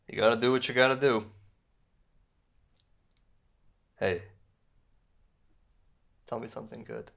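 Actor as an insecure, halting male comedian. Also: medium pitch, vocal fry, a bit bright. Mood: weary, tipsy. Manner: halting, conversational, with repeated words. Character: tipsy, insecure, self-pitying male stand-up comedian. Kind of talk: naturalistic radio play dialogue.

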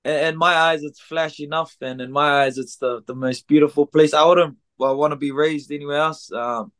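A man in his twenties with a New Zealand accent, a podcast guest talking casually and haltingly with many ums, recorded in a music studio. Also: fairly high pitch, fairly even intonation, a bit bright, clear, resonant tone. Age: 20s. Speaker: guest, gym co-founder. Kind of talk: casual interview chat.

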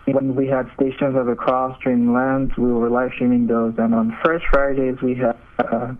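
A man's voice: mid-range voice, little pitch movement, normal speed, phone-quality sound. A young man gives casual, hesitant interview answers as a seminarian guest over a remote call. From Nigeria. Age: young adult.

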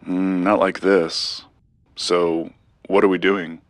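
A man's voice: low-pitched, flat delivery, slow pace, dry recording. A man playing a wry, reluctant collector with a dry, skeptical manner in an audio drama podcast. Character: wry, reluctant, disreputable male antiques collector.

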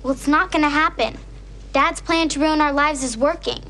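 A woman's voice: high voice, moderate pace, noisy background, close to an everyday voice. A young female actor playing a sassy, scheming girl who pleads with her dad in a sitcom. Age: child.

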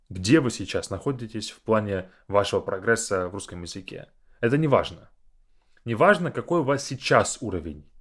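Reflective man with lively intonation. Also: medium pitch, slightly rough. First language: Russian. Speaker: Russian teacher and podcast host. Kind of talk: casual reflective monologue.

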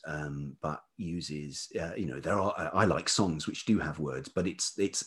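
A man speaking as an interview guest in reflective, casual conversation, halting thoughtfully as he searches for words. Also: sits low, husky. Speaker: interview guest, writer and musician.

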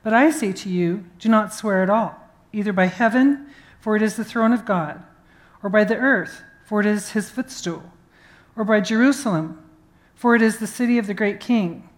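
A woman's voice: medium pitch, moderate pace. A woman reading a Bible passage aloud as a scripture reader.

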